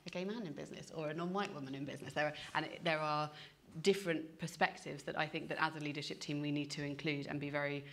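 A woman's voice: deep voice, steady tone, fast talker, fairly bright tone. A woman in her thirties speaking animatedly as a panelist and business founder, gesturing as she talks into a lapel mic in a university event hall. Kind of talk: panel discussion.

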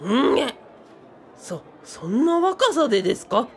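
Woman voicing an excitable, high-voiced boyish sidekick. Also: a little slow, a little hiss or hum, exaggerated voice. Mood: shocked, indignant. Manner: polite, eager, quirky sentence endings. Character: excitable, eager, bookish boyish male sidekick. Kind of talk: comic radio drama dialogue.

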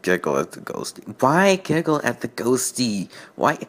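A man hosting a fan fiction reading with casual, mocking commentary, halting and repeating himself in a sardonic way. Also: medium pitch, sing-song, a bit bright.

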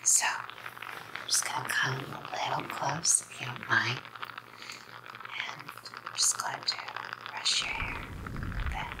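A young woman playing a doting, controlling psycho girlfriend in a hushed, coaxing ASMR role-play. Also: extremely low pitch, big pitch swings, takes it slowly, creaky, pauses often, pitched below the performer's own voice, heavily acted, low-quality recording. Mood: gentle, faintly menacing. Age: young adult. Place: small dim indoor room.

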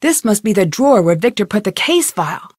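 A woman playing a young, curious and determined amateur detective in a video game, thinking aloud in clearly articulated scripted lines. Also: medium pitch, big pitch swings, a little fast, a little mellow, no echo, studio quality. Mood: musing, focused.

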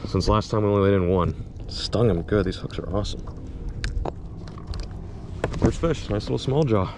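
A young man in his twenties vlogging excitedly from a small bass boat on a lake, talking to an action camera. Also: moderate pace, background noise. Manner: upbeat, excitable, hypes the action. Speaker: fishing vlogger. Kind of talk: casual vlog narration.

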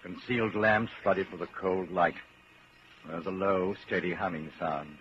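An actor as a grave, deliberate narrator telling a grim story in the second person, in 1940s radio drama style. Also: male voice, low voice, steady tone, close to an everyday voice. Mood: ominous. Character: grave, ominous male narrator addressing the hero. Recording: dull, hissy 1940s radio recording.

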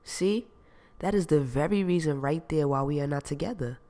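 A woman's voice: sits low, moderate pace, mellow, close to an everyday voice. A man reading an audiobook, voicing a sassy young woman who brushes off her ex. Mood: defiant. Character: young woman, cool and dismissive, then defiant.

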